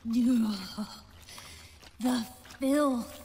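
A woman's voice: fairly high pitch, extremely slow, a little mellow, a little hiss or hum. A woman voicing a sweet alien teen princess who speaks with oddly formal, earnest phrasing in a cartoon. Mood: dismayed. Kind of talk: cartoon voice acting.

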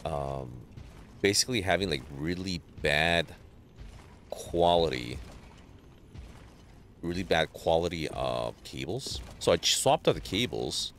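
A man streaming a game live, chatting casually with viewers and greeting them by name, sounding a little stuffy from allergies, on a boom mic. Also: measured pace, pauses often, a little hiss or hum. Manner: greets chat viewers, relaxed banter. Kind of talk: casual live gameplay chat.

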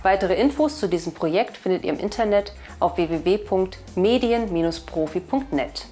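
A woman's voice: mid-range voice, animated, normal speed, dark tone, soft background music. Woman presenting scripted news, German accent. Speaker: presenter of a newspaper's weekly video news. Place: indoor video studio. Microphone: lapel mic.

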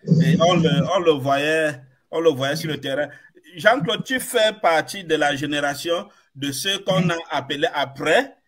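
A man hosting a live interview over a headset, asking long, lively questions. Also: on the high side. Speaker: host.

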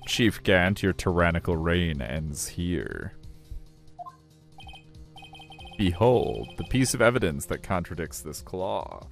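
Man acting earnest low-voiced young defense lawyer. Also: sing-song, creaky, soft background music, exaggerated voice. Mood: confident. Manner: firm, deliberate, accusing.

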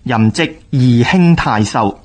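A man with a Hong Kong Cantonese accent reads scripted historical radio narration in a formal, measured way. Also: mid-range voice, expressive.

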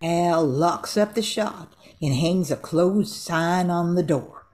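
A woman's voice: lowish voice, takes it slowly, a little mellow. An older woman reading scripted narration for an amateur radio drama.

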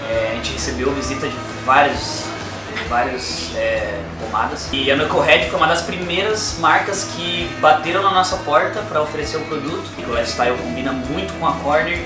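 Man in his thirties, earnest barber testimonial, echoey room, slightly distant mic. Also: medium pitch. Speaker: barber, barbershop owner and brand ambassador. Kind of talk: personal testimonial to camera. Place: indoors, small barbershop. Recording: roomy, distant mic, clear background music. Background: music.

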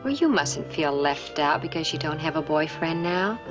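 A woman's voice: deep voice, animated, normal speed. An American actress in her thirties playing a kindly, concerned suburban mother in a family sitcom. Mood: gently concerned. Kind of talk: 1970s family sitcom acting. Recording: dull old TV soundtrack, music behind.

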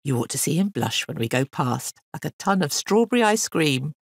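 A woman's voice: low voice, sing-song, normal speed, slightly rough, dry recording, clean studio sound, heavily acted. A woman voicing a cheeky young boy who handles an elephant in a children's story, breezy and glib with put-on innocence. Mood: breezy scheming.

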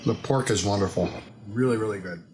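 A man in his forties, host of a home show, chatting casually in his kitchen while tasting a meal, his voice relaxed and trailing off quietly at times. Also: fairly low pitch, brisk. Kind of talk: casual food review.

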